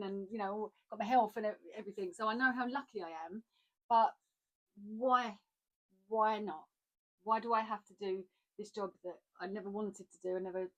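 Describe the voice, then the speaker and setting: A woman talking frankly in a relaxed, conversational way, pausing and restarting as she works through her thoughts. Her voice sits at an ordinary middle pitch. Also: rough recording. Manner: candid, reflective, with frequent restarts. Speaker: podcast co-host. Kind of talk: casual chat.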